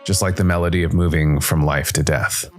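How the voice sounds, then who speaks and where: A man voicing a weary, somber jazz musician who narrates a noir story in a brooding, deliberate, dramatic way. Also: a bass voice, fairly even intonation, faint music behind. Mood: wistful, reflective. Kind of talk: scripted noir first-person narration.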